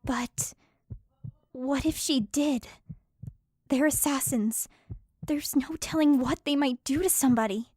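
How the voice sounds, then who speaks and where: A woman acting as a young female fantasy adventurer. Her voice is high and polite, with a reasoning, matter-of-fact manner, recorded dry for an audio drama. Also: slow, long pauses, darkish tone, dry recording. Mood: doubtful, worried. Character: Nyla, level-headed, gently doubting young female adventurer.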